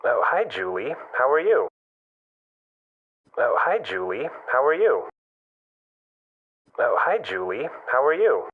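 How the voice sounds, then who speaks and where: A man's voice: mid-range voice, big pitch swings, takes it slowly, long pauses, narrow, tinny audio. A man reading a polite office manager. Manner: clear, careful scripted delivery. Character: polite male office manager taking a call. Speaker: dialogue reader in an English listening lesson. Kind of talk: scripted role-play dialogue.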